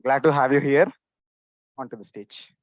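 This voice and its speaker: A man's voice: medium pitch, steady tone, moderate pace, no echo, lo-fi sound. A young man hosting a student club meeting, casually welcoming guests onto the stage with friendly encouragement. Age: young adult. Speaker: meeting host.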